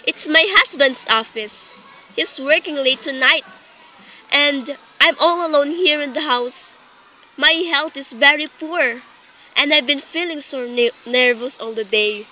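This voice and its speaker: High, bright, overwrought frightened wife acted by a woman. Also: unhurried. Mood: fretful. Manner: overwrought, imperious. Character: nervous, demanding invalid wife, alone and frightened. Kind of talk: melodramatic radio play acting. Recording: faintly noisy amateur recording.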